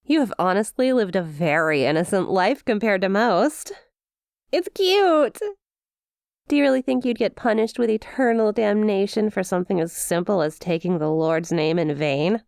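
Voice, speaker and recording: A woman's voice: medium pitch, animated, moderate pace, gravelly, a little mellow, clean tone, no echo, studio quality. A woman playing a perky, smug demon imp in an ASMR roleplay, teasing with playful mock sympathy and a possessive streak. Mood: gleeful teasing. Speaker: audio roleplay performer.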